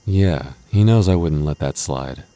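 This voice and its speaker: Young male lover played by a voice actor, deep and soft-toned, possessive yet devoted, gets defensive, intimate roleplay. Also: lively intonation, measured pace. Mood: annoyed, possessive. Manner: stammering, defensive, teasing asides. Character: jealous, protective young boyfriend, defensive but loving. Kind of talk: intimate boyfriend audio roleplay.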